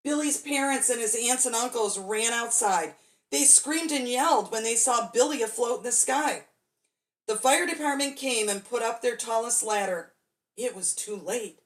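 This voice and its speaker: A woman's voice: fairly high pitch, moderate pace. A woman storyteller reading a children's bedtime story expressively, drawing out key words for dramatic emphasis.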